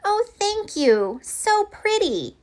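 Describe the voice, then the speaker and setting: A woman's voice: very high-pitched, very animated, slow pace, voice pushed up, full character voice. A woman voicing an eager, sweet little girl monster in a picture book, childlike and full of exclamation. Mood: delighted.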